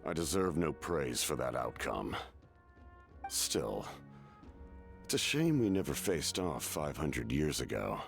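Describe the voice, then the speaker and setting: An actor playing a weary, proud ancient warrior in a video game, speaking slowly and gravely with a deep, gravelly voice. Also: male voice, very animated, exaggerated voice. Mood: solemn, regretful. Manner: slow, measured, grave. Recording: background music under the voice.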